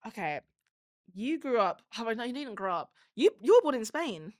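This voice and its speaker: A London woman in her twenties who hosts a podcast, chatting casually in an animated, slangy way. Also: on the high side, dry recording, clean studio sound. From South London, England. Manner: animated, slangy, quick to laugh. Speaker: podcast host. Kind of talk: casual chat.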